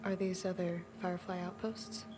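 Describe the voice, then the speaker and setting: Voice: mid-range voice, level tone, moderate pace, noisy background, close to an everyday voice. A performer playing a skeptical young teenage boy survivor in a video game, with a natural, muttering delivery. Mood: curious.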